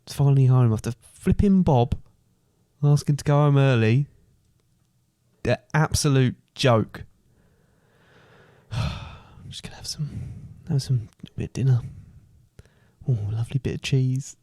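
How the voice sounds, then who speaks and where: A grumpy, petty miser of a boss, played by a man with a mellow, soft-edged voice. He grumbles curtly and deadpan in improvised comic panto style, recorded dry and close. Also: mid-range voice, a little slow, pauses often, dry recording, close to an everyday voice. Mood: muttering.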